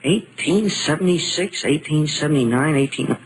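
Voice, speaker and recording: A man's voice: a little high, expressive, moderate pace. An actor playing a bold, wisecracking, take-charge newspaperman in 1940s radio style. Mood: curious, alert. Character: bold, brash newspaperman hunting an intruder. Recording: noisy 1940s radio recording.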